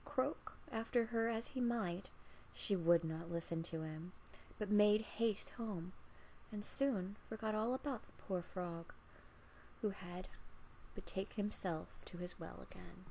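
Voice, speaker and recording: A woman narrates a scripted fairy tale. She reads slowly and expressively, in a measured storyteller's manner. There is faint background noise on the recording. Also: mid-range voice, creaky, warm tone, rough recording.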